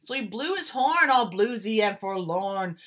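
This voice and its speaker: A woman teacher reading a picture book aloud in an expressive, rhythmic storytelling style, leaning on the rhymes and beats. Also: medium pitch, unhurried.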